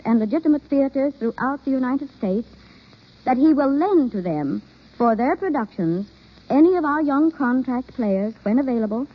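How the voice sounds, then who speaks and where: A woman reading a formal, deliberate scripted announcement in the style of 1930s radio. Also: high voice. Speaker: guest announcer. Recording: dull, lo-fi old radio broadcast.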